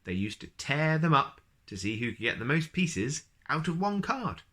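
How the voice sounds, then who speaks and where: A man reading children's storybooks aloud as host, expressive and speaking directly to young listeners. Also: on the high side, normal speed.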